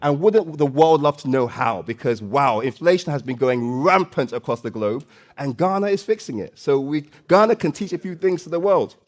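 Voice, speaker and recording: Loud, high-voiced man hosting a live event. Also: lively intonation, moderate pace. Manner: loud, playful, coaxing the room, repeats phrases. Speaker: event host and MC. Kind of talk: live stage hosting with audience quiz. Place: live summit stage before an audience.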